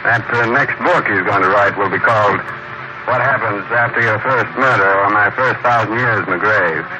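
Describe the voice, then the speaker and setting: A man's voice: lowish voice, normal speed. A man hosting a 1940s radio horror show in scripted narration, with a sardonic, macabre humour. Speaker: host of a radio mystery show. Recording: muffled, noisy vintage radio recording.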